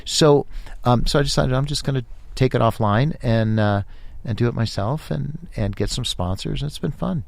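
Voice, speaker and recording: A man chatting casually as a guest on a podcast, telling stories in animated bursts with many restarts. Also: medium pitch, moderate pace, vocal fry, no room sound. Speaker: podcast host, guest here. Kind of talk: casual interview chat.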